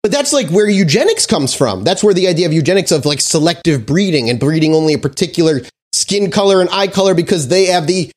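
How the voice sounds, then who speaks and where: A man's voice: a little high, lively intonation, fast talker. A man hosting a casual history podcast, reading from notes with frequent fillers and restarts. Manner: reads research aloud with frequent fillers and restarts. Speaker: podcast host.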